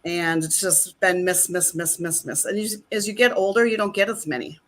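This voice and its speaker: Chatty middle-aged woman, lowish voice. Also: steady tone, moderate pace, fairly bright tone. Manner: chatty, rambling, many fillers. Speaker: actress and guest. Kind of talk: casual interview chat.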